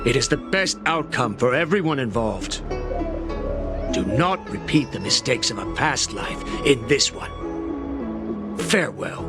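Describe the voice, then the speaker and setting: An actor playing a proud, protective dragon-folk alchemist in a video game, speaking formally with grave insistence. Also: male voice, medium pitch, very animated, a little slow, vocal fry, long pauses. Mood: grave warning. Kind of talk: video game cutscene acting. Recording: music behind the voice.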